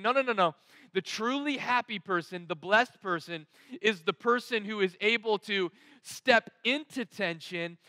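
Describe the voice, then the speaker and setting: A male pastor delivers a conversational sermon in a high, bright and forceful voice. He leans emphatically on key words and sets them apart with deliberate pauses. The sound is close and dry, from a headset microphone. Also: no echo. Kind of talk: sermon to an online congregation. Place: large dark auditorium stage, indoors.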